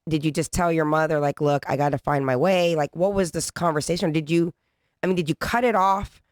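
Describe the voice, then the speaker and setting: A woman hosting a podcast interview, pressing her guest with repeated follow-up questions and rephrasing to dig deeper. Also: steady tone, fairly quick, dry recording, clean studio sound. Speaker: podcast host.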